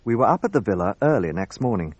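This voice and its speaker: An actor playing an earnest, gallant gentleman who narrates and acts in a radio drama. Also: fairly low pitch, big pitch swings, fast talker, dry recording, muffled recording. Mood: measured narration.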